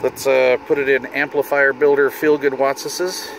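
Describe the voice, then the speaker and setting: A man's voice: medium pitch, flat delivery, moderate pace, low-quality recording. Man chatting over noticeable car noise. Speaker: hobbyist video host demonstrating radio gear. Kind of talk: casual hands-on demonstration. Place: inside a parked vehicle.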